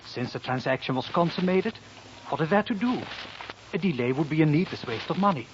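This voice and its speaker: A man's voice: on the high side, lively intonation, a little fast, highs cut off, natural voice. Male merchant acted, formal and mournful, swooping intonation, 1940s radio drama on a faintly noisy recording. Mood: solemn, formal. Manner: formal, stiff, foreign phrasing. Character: grave, formal Dutch businessman, outwardly grieving, money-minded.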